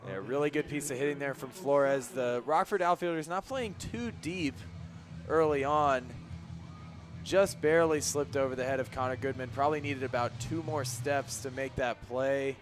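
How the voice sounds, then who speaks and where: A man's voice: medium pitch, normal speed. A man giving conversational, explanatory color commentary on a live baseball radio broadcast. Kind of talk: live sports analysis.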